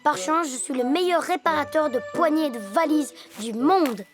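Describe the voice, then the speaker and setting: A woman's voice: high voice, moderate pace, lightly acted. A woman voicing a sensible, proud little boy hippo who invents things in a children's cartoon, childlike and matter-of-fact. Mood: confident, helpful. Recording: loud music behind.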